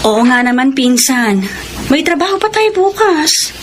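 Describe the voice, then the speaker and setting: Outspoken, supportive cousin, young, highish voice, radio drama scene with noise behind. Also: female voice, sing-song, measured pace. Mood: teasing. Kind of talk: Filipino radio drama acting.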